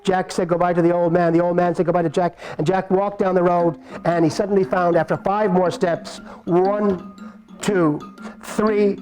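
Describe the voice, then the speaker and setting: A man's voice: sits high, little pitch movement, moderate pace, darkish tone, soft background music, low-quality recording. A man telling a folk tale to a live audience, playful and addressing listeners directly. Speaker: storyteller. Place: outdoor marketplace performance.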